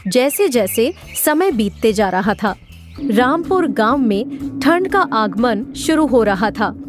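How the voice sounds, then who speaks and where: A woman's voice: on the high side, a little mellow. Woman narrating a storybook over music. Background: background music.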